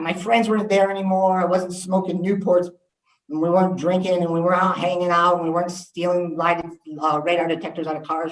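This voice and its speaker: A man, giving a candid talk to students as an assistant principal, with frequent ums. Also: high voice. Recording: echoey room, voice from far off.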